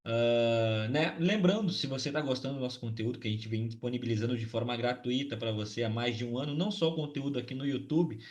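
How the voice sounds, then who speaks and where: A slightly hoarse man with a Brazilian Portuguese accent, hosting a casual trading recap and talking chattily with many tag questions. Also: mid-range voice, fast talker, few pauses. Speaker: trading channel host.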